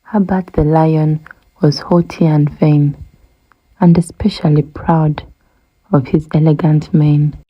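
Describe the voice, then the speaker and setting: A woman narrating a rhyming children's storybook aloud in a measured, expressive way. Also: low-pitched, slightly rough, highs cut off.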